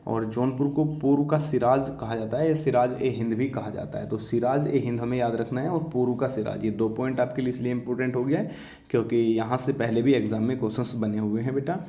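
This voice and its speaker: A man's voice: medium pitch, fairly even intonation, a little fast, mellow. Man teaching in an echoey room. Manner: instructive, repeats check-in tags and asks questions he answers himself. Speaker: exam coaching teacher. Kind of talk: informal exam-prep lecture to students.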